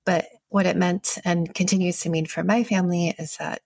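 Low gravelly woman professor. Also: fairly even intonation, moderate pace, darkish tone, no echo, clean studio sound. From Kentucky and Hawaii. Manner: thoughtful, laughs often, restarts sentences. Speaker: guest, history professor. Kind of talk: conversational interview.

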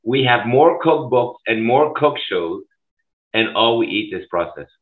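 Swiss-born guy, middle-aged, fired up and talking fast in bursts, tinny call sound. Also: mid-range voice, lively intonation. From Switzerland. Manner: passionate, emphatic, rapid bursts. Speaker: school food services director. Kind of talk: conversational interview. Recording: tinny phone-like line.

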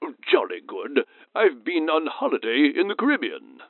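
Over a dull two-way radio link comes a jolly, genteel British whale. He bumbles along in a posh British accent with extremely animated, swooping pitch. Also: moderate pace, vocal fry, dry recording, full character voice. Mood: genial, bumbling. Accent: British English. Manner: posh, hesitant, genial. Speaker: guest.

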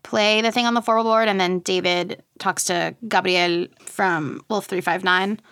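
A woman with a noticeably creaky, fry-edged voice interviews a guest. She is casual and agreeable, phrasing questions informally, and the sound is very dry with no room around it. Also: lowish voice, steady tone, moderate pace, fairly bright tone, dry recording. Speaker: podcast host, interviewer.

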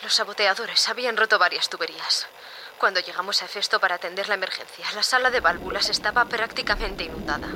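An actress playing a composed maintenance worker recounting an incident in a measured recorded-diary monologue, with noise behind. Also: female voice, mid-range voice, lightly acted. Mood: grave, matter-of-fact.

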